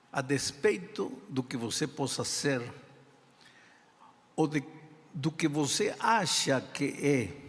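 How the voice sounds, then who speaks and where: An older man with a non-native accent in Portuguese, preaching a sermon in slow, deliberate phrases with emphatic pauses. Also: mid-range voice. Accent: non-native speaker of Portuguese. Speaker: pastor. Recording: reverberant hall sound.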